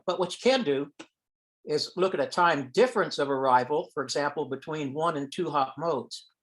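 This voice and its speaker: A man giving an informal technical presentation on a teleconference, explaining step by step and sometimes thinking aloud. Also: on the high side. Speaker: presenter at an amateur radio science teleconference. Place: online teleconference call.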